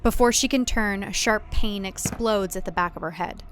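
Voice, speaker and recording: A woman's voice: mid-range voice, normal speed, fairly bright tone. A woman reading scripted thriller fiction as a narrator, in a dramatic storytelling style.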